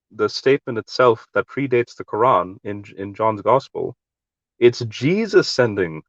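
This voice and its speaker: A man's voice: mid-range voice, dry recording. A man in a casual online discussion, explaining his point earnestly with many fillers and restarts. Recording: dry, dull lo-fi audio.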